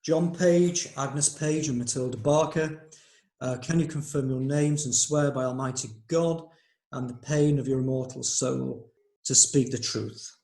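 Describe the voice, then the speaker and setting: A man's voice: mid-range voice, steady tone, unhurried, a little mellow, reverberant, natural voice. A man playing a dutiful court clerk who announces names and oaths in a formal, slightly hesitant way. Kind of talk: amateur radio drama.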